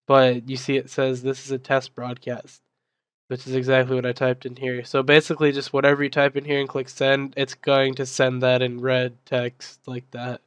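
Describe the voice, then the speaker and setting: A man's voice: medium pitch, completely flat delivery, normal speed, smooth, no echo. A young man explaining a game server control panel in a casual, filler-heavy way, his voice still recovering from being sick. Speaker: server admin giving a tutorial. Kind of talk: screen-recorded software tutorial.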